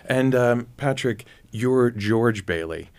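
A man's voice: medium pitch, animated, takes it slowly, darkish tone. A man hosting a conversational interview, asking inquisitive questions with some hesitant restarts. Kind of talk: conversational radio-style interview.

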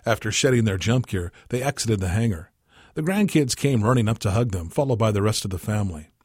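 A man with a husky voice reading a scripted short story as narrator. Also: fairly low pitch, lively intonation, brisk, no echo, clean studio sound. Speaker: story reader.

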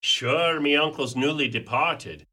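A man's voice: a little high, animated, unhurried, bright, forceful tone, exaggerated voice. A man playing an impatient, sceptical Irish nephew in a radio play.

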